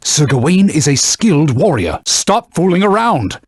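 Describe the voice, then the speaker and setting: A man's voice: fairly high pitch, very animated, moderate pace, breathy edge, dry recording, clean studio sound. A man voicing a haughty enchanted sword in a video game, a stern knightly mentor who scolds and instructs in pompous, old-fashioned language. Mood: instructive, stern. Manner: pompous, scolding, archaic formal diction. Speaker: combat mentor. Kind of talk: video game voice acting, combat tutorial barks.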